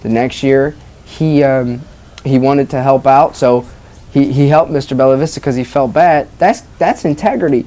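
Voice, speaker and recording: Casting: classroom teacher, mid-pitched voice, storybook read-aloud and prompting questions for young pupils. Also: normal speed, warm tone. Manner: prompting children with questions, acknowledging their answers.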